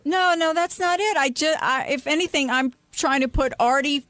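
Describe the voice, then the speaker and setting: A woman who is a radio show regular, defending herself haltingly in unscripted talk. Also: high-pitched, fairly bright tone, no echo.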